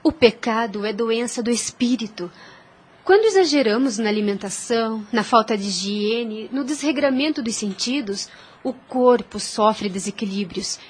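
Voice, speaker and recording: Radio-play ingénue played by a woman: Brazilian, gentle, devout, earnest preacher, high and breathy. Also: moderate pace. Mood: earnest, consoling. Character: gentle, devout young girl, wise religious teacher. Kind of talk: audio drama acting.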